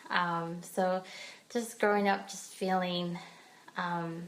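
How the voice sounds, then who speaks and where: Low, flat-voiced woman telling her story. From Pennsylvania, United States. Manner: hesitant, many pauses and fillers. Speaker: guest speaker. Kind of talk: personal testimony in a podcast interview.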